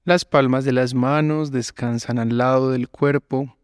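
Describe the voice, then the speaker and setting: A man guiding a relaxation meditation as a yoga instructor, giving calm, measured instructions with long pauses between phrases. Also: medium pitch, smooth, no echo, studio quality. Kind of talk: slow guided relaxation meditation.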